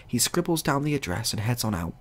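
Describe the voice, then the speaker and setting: A man narrating a scripted comic short story as a storyteller, in a husky, gravelly voice. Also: mid-range voice, big pitch swings, fairly quick.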